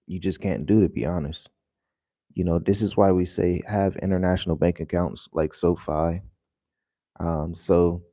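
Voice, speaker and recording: A man who has just woken up, his voice deeper than usual, talking casually and rambling to viewers in a vlog with lots of fillers. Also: warm tone, no echo, studio quality. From United States. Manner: rambling, loose and conversational with many fillers. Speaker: vlogger. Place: home in the early morning.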